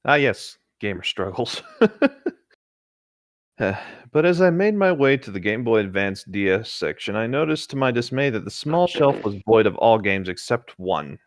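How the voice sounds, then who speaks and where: A man's voice: fairly low pitch, animated, moderate pace, no room sound, clean studio sound. A man casually reading a story aloud as co-host, playful and jokey, breaking into chatty banter. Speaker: co-host reading and riffing on internet horror stories.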